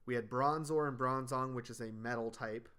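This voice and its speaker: A man hosting a casual podcast chat, explaining things in a steady way and listing them off one by one. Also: medium pitch, moderate pace, clear, resonant tone. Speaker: podcast host.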